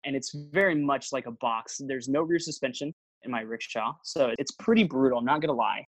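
A man's voice: mid-range voice, a little fast, no room sound, rough recording. Chatty young man on a dry mic. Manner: rambling storyteller, many fillers and restarts. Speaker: travel vlogger, guest. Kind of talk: casual interview chat.

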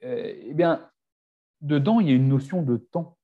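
A man's voice: a little high, normal speed, narrow, tinny audio. A man in his forties, a fitness coach, speaking as a guest in a casual podcast chat, often restarting his sentences. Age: 40s. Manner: hesitant, many restarts and fillers.

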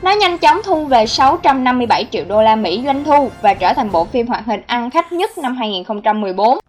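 A woman's voice: a little high, sing-song, brisk. A woman reading scripted narration as the host of a countdown video. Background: background music.

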